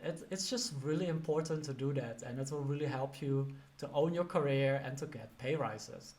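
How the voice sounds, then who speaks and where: A man's voice: medium pitch, normal speed, darkish tone. Chatty man with a Dutch accent. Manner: chatty, many fillers like "you know" and "like". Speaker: career coach. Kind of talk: casual advice vlog. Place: lives and works in the UK.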